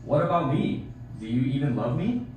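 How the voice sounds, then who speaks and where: An eager, needy puppet voiced by a man pipes up insistently in a children's show, in a mid-pitched voice. It is heard from far off in a very echoey hall. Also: expressive, moderate pace, darkish tone, slight background noise, natural voice. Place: theatre, behind puppet stage curtain.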